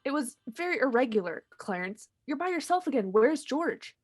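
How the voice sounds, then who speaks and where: Woman acting a firm, brisk heavenly superior. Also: sits high, very animated, soft background music. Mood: reproving. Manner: firm, reproving. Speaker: actor. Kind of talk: old-time radio play acting.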